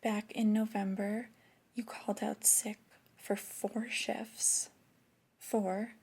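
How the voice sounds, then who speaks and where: Woman as flirty, disappointed boss for ASMR roleplay. Breathy, whispery, creaky low register in delivery, intimate reprimands. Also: level tone, darkish tone. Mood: reproachful, skeptical. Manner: slow, deliberate, intimate reprimanding. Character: flirty, disappointed female store boss scolding an employee. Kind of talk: soft-spoken ASMR roleplay.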